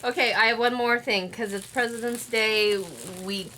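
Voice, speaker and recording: A woman's voice: a little high, steady tone, normal speed. A woman co-hosting a podcast, casually bantering and putting questions to the others over some background noise. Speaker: podcast co-host.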